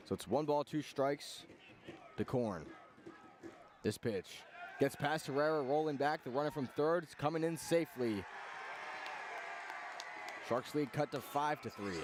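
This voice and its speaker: A man calling live baseball play-by-play on the radio in clipped phrases, following the action as it unfolds. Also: medium pitch.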